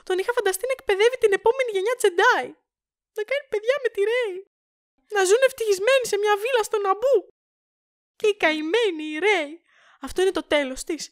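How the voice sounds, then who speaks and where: A woman playing an upset, melodramatic young female film fan in an audio drama, indignant and theatrical. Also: very high-pitched. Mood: mournful, dramatic.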